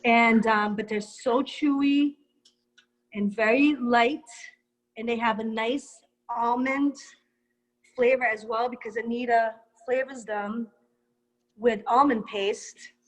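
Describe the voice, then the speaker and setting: A woman's voice: fairly high pitch, fairly even intonation, slow pace, pauses often, rough recording. A woman chatting casually and gushing with praise about the food she has tasted. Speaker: co-presenter and taster. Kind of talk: casual enthusiastic chat.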